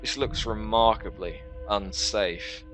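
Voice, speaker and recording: Bright-voiced man narrating a fantasy game. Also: lowish voice, takes it slowly, faint music behind. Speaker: video game let's player reading a visual novel aloud. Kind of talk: dramatic first-person narration of game text with casual asides.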